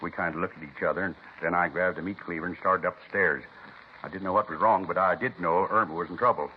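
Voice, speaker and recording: Actor as earnest, simple male butcher, deep folksy voice, plain-spoken, 1950s radio acting on a dull, hissy recording. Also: steady tone, fast talker, close to an everyday voice.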